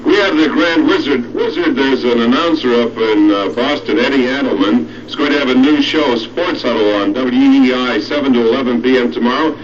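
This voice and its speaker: A man's voice: mid-range voice, a little fast, hardly any pauses, a little hiss or hum. A man working as a wrestling announcer and interviewer, making broadcast announcements, on an old cassette recording of television. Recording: muffled, distant 1971 TV cassette recording, crowd behind.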